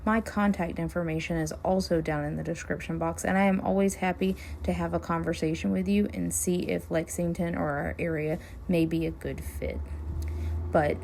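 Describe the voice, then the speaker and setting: A woman realtor, chatting casually while driving, her voice hoarse and scratchy as she loses it. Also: fairly low pitch, fairly even intonation, moderate pace, a little mellow. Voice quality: gravelly, hoarse. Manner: friendly, chatty, upbeat sales talk. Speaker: realtor hosting a neighborhood tour channel. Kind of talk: casual driving-tour vlog talk. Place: inside a car, driving.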